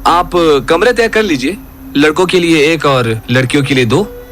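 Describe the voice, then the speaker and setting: A man's voice: a little high, expressive, normal speed, background noise, natural voice. A man playing an anxious young son among friends in an audio horror drama. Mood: urgent.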